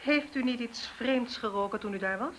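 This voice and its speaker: An actress playing a calm police inspector who asks persistent, probing questions in a radio play. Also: female voice, on the high side, normal speed, slight background noise, lightly acted.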